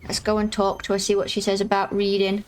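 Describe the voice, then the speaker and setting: Croaky-voiced woman guiding a game quest. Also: mid-range voice, monotone, fast talker, a little hiss or hum, rough recording. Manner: chatty, explains each step. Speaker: gaming YouTuber. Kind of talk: casual game walkthrough.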